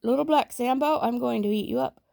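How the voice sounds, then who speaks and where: A woman voicing a boastful, hungry tiger in a storybook read-aloud, speaking slowly and emphatically with menace. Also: on the high side, vocal fry, warm tone. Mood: menacing. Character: boastful, hungry tiger threatening to eat a child.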